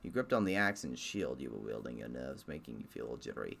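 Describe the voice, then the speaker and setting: A man's voice: very deep voice, sing-song, quick pace, vocal fry, fairly bright tone. A man reading a game aloud in a casual let's-play style, breaking off for self-deprecating, sweary asides.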